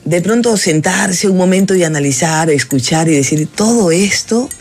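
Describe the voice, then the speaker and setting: Lively, effusive interview host. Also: medium pitch, moderate pace, faint music behind. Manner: effusive, admiring, emphatic. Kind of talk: conversational interview.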